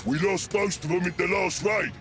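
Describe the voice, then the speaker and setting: A man playing a gruff, bossy ork squadron leader in a video game, with a growling, gravelly Cockney English voice. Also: high-pitched, moderate pace, fairly bright tone, soft background music. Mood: rousing, aggressive. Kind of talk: video game character acting.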